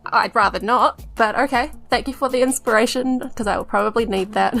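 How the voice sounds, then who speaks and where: Fairly high, creaky woman player. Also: fairly quick, a bit bright, soft background music. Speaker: tabletop game player. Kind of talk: casual game chat.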